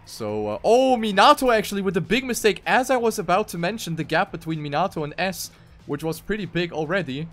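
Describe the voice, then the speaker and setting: A man's voice: high voice, sing-song, brisk, fairly bright tone. A man giving live commentary on a racing video game as an esports caster.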